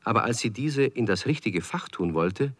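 A man narrating a children's radio play as a storyteller, with expressive, clearly phrased scripted delivery. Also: mid-range voice, normal speed, no echo. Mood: gentle storytelling. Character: male storyteller of a children's tale.

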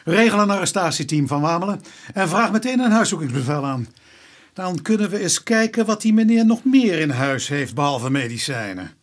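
An actor playing a commanding, dry police inspector in a radio drama, terse and authoritative. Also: male voice, high voice, very animated, moderate pace, slight background noise. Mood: brisk, commanding.

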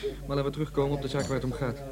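Fast-talking defiant young student, played by a man. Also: mid-range voice, flat delivery, crowd noise, lightly acted. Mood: matter-of-fact. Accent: Netherlands Dutch. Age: young adult. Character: young male left-wing student activist, defiant, aloof son. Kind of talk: radio play dialogue.

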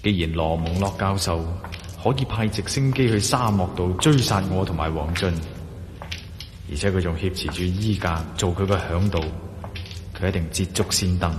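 A man's voice: sits low, moderate pace, lightly acted. A man with a Hong Kong Cantonese accent playing a wary, curious adventurer in a radio drama, theatrically thinking aloud to himself. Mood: grim, cautious. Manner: theatrical, exclaiming and musing to himself. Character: wary, curious male adventurer exploring a dark ancient temple. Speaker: radio drama lead actor. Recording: echoey long reverb, clearly noisy.

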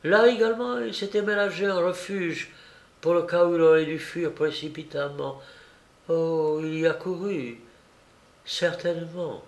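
A man voicing a distraught young wife who speaks in a broken, plaintive, halting way, in an audiobook story. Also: lowish voice, reverberant, pitched above the performer's own voice. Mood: distressed. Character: distraught, battered young wife, bitter and sobbing.

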